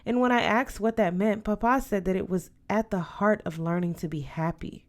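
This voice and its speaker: A polite teenage schoolgirl in a storybook, read by a woman. She sounds earnest and questioning, with a medium-pitched voice as she talks with her godmother. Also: normal speed, lightly acted. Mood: earnest, hesitant.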